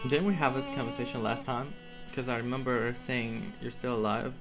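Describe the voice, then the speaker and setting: A man giving informal, halting, reactive commentary as he plays through a video game. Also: mid-range voice, fairly even intonation, gravelly, music in the background. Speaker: gaming streamer. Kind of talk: casual playthrough commentary.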